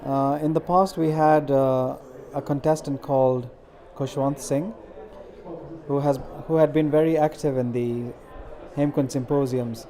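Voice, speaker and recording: A middle-aged man answers questions earnestly and at a measured pace, pausing often on fillers. His voice is mellow and dark, sitting in an ordinary middle pitch. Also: steady tone. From India. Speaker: interviewee, community organizer. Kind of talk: interview answers.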